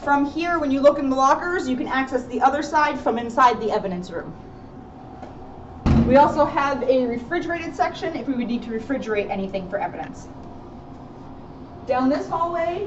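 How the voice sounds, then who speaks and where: A woman police officer giving an informal guided tour, explaining plainly and addressing viewers directly, recorded indoors from a distance. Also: sits high. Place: indoor rooms and hallways of a police station. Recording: roomy, muffled, noisy, distant camera microphone.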